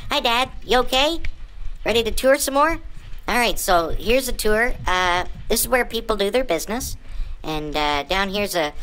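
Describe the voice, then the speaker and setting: A woman's voice: medium pitch, expressive, moderate pace, a bit bright, close to an everyday voice, low-quality recording. A woman voicing a cheeky, eager pet pug who rambles as it gives a tour to its owners, in an improvised pet voice-over. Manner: rambling, eager, addresses owners as Mom and Dad.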